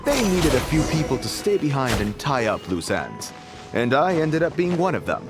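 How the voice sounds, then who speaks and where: A woman's voice: very deep voice, very animated, normal speed, over music. A woman playing a chatty, deferential and ingratiating minor schemer in an anime game.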